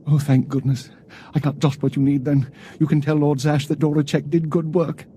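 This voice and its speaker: An actor playing a nervous, cowardly inventor in a video game, anxious and pleading. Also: male voice, a little high, level tone, normal speed, mellow, slight background noise, close to an everyday voice. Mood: relieved, wary.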